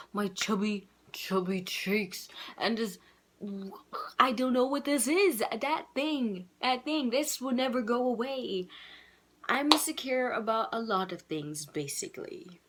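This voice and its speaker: A young woman with a childish-sounding voice, talking candidly and rambling in a casual video letter to her twin, laughing softly at herself. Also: on the high side. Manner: candid, rambling, self-deprecating with frequent soft laughs. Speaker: vlogger.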